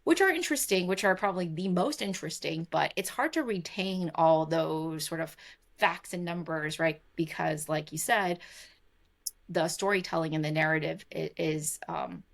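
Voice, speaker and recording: A woman's voice: low voice, normal speed, vocal fry. A woman guest in a remote interview, talking casually with lots of "like" and "right?", laughing easily. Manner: chatty, heavy on fillers like "like" and "right?". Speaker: guest, tech investor and former podcaster. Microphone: remote call recording.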